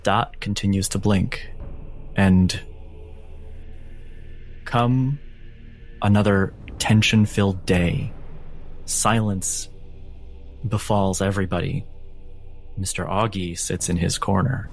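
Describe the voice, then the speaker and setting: A man narrating a tabletop game as game master, with dramatic, suspenseful and deliberate phrasing. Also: low-pitched, steady tone, vocal fry, soft background music.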